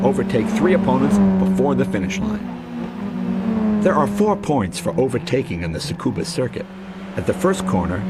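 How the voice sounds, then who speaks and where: A man reading scripted mission briefings for a racing game in a clear, instructional announcing manner. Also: mid-range voice, very noisy, close to an everyday voice. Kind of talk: scripted game tutorial narration. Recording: loud music behind the voice. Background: loud music, racing car sounds.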